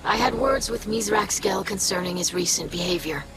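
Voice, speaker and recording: An earnest young alien scholar, played by a woman, speaks in a mid-pitched voice with formal precision. She is measured and resolute, a game character heard over clear music. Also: close to an everyday voice, low-quality recording. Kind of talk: video game cutscene acting.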